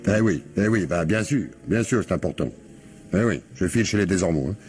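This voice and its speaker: Deep-voiced, shrewd police commissioner. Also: male voice, lively intonation, fairly quick, a little mellow. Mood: brisk, wry. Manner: colloquial, probing, wry, murmured reactions. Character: shrewd, probing male police commissioner, wryly familiar. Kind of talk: French radio mystery play acting.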